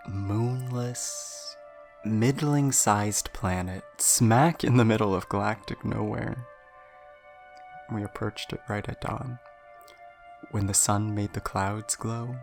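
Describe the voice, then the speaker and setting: An ailing male starship crewman in an audio drama, weary and guilt-ridden. He speaks slowly in a subdued, hesitant confessional way, with music behind him. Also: lowish voice.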